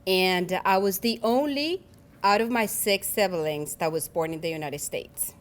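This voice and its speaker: A woman's voice: on the low side, measured pace. A woman in her forties with a Colombian Spanish accent, giving a personal keynote speech at a luncheon in an earnest, reflective way, often restarting her phrases. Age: 40s. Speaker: keynote speaker.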